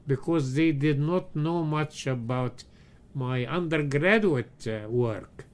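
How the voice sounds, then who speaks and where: Slow, halting older man. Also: a little high. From Egypt. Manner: slow, halting storytelling with many pauses and fillers. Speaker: interviewee, retired engineering professor. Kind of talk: oral history interview. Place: his home.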